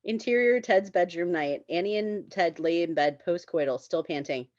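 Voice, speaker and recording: A woman's voice: lowish voice, moderate pace, darkish tone, dry recording. Woman, scripted narration, screenplay table read, giggle-prone, dry sound, dull lo-fi audio. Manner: giggly, often breaking into laughter. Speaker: stage directions reader at a script table read.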